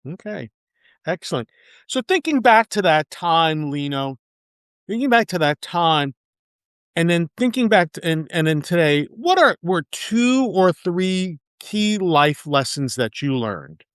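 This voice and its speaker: A man hosting an interview podcast, reading a formal scripted introduction and then asking questions in a measured way. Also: a little high, very animated, fairly bright tone, clear, resonant tone, no room sound, clean studio sound. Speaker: podcast host.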